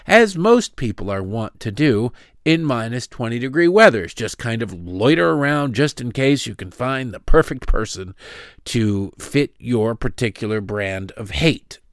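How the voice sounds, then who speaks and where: A man hosting a show, delivering sarcastic, mocking political commentary with big swings in pitch. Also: a bit bright, no echo, studio quality. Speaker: host.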